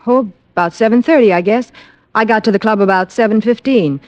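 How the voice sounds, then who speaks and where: A woman's voice: a little high, brisk, darkish tone, close to an everyday voice. Actress as guarded woman, muffled old radio. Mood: polite, explaining. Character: polite, guarded woman questioned in a murder case. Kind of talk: 1950s radio detective drama acting.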